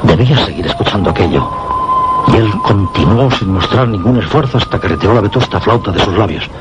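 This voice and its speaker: Deep-voiced, suspenseful Spanish man recounting mysteries. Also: wildly swooping pitch, heavy background noise. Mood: grave, suspenseful. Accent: Castilian Spanish. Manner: deliberate, suspenseful, with dramatic pauses and repetitions. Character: astonished male observatory researcher recounting an eerie mystery. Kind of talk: Spanish suspense radio drama, first-person narration and dialogue. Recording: radio drama with prominent music behind.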